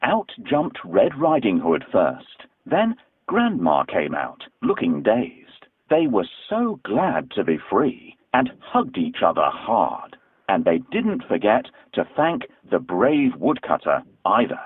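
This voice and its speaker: Slow, expressive male storybook narrator. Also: lowish voice, husky, dry recording. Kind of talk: scripted children's storybook narration. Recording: muffled telephone-like narrow band.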